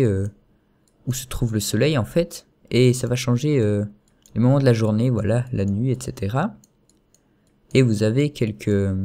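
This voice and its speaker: Chatty young man with a mellow voice. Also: mid-range voice. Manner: chatty, hesitant, many fillers and self-corrections. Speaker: software tutorial host. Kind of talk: casual screen-recorded tutorial.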